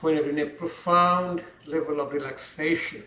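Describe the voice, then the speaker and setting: A man teaching a yoga class, speaking calmly and unhurriedly while he demonstrates the poses. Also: on the high side, a little hiss or hum. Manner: calm, unhurried instruction while holding poses. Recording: echoey room, voice from far off.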